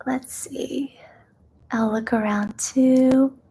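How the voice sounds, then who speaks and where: A young woman born in China, whose first language is Kazakh, hosting a live ASMR question-and-answer chat in a gentle, soft-spoken way that slips into whispers. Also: sits high, fairly even intonation, very slow, breathy edge. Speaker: ASMR host.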